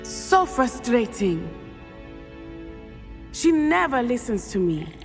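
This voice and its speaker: A woman's voice: on the high side, very animated, slow, creaky, big-room sound, faint music behind, heavily acted. A woman playing an earnest, independent-minded schoolgirl witch in a game, articulate. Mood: frustrated, pleading. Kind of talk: game cutscene dialogue.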